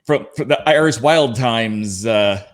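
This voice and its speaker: A man's voice: medium pitch, slow. A man speaking as a podcast panelist, explaining things casually in a fan discussion.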